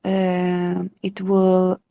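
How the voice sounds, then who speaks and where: A woman's voice: on the low side, completely flat delivery, extremely slow, dark tone, smooth, muffled recording. A woman in her thirties from Israel, hosting an online support group in a welcoming, reassuring way over a video call. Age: 30s.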